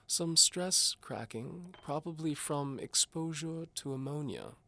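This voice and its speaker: High, creaky old duke. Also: male voice, animated, slow, sharp tone, exaggerated voice. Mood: appraising. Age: older. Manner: pompous, formal, hesitant blustering. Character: doddering old duke, fussy, imperious amateur alchemist. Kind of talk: audio drama character acting.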